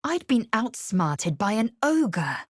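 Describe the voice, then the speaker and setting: A woman playing a fierce, haughty githyanki warrior in a video game, with a husky, breathy edge and clipped, imperious delivery. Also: fairly high pitch, big pitch swings, slow, dry recording, studio quality, exaggerated voice. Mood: brooding recollection. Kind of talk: video game character acting.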